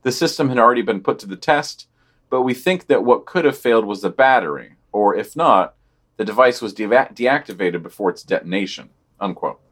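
A man co-hosting a podcast, reading long quoted passages from news items. Between the quotes he gives flat, deadpan and critical remarks. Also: fairly low pitch, fairly quick. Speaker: podcast co-host.

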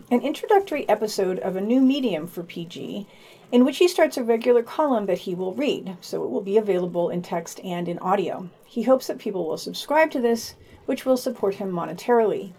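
A woman's voice: fairly high pitch, mellow. A woman co-hosting a podcast, reading scripted summaries and reviews with her own commentary.

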